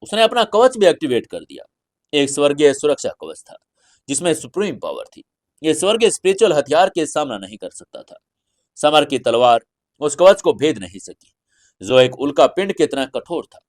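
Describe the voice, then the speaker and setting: An Indian man reads a scripted fantasy audio story in a theatrical, deliberate storytelling manner. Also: on the high side, very animated, dry recording, clean studio sound. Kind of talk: dramatic scripted fantasy narration.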